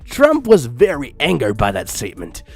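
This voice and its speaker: A man's voice: mid-range voice, huge pitch swings, normal speed. A man reading a story aloud as comic narration, incredulous and breaking off for asides, with music behind him. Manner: incredulous, often breaking from the script. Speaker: fan fiction reader.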